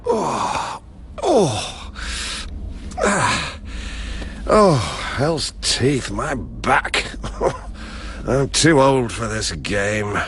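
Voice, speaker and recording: A man playing a weary, grieving middle-aged father in a poetic radio drama, speaking in slow, halting verse. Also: medium pitch, very animated, fairly bright tone, a little hiss or hum, heavily acted. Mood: aching, groaning. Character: grieving, weary father haunted by his daughter's death.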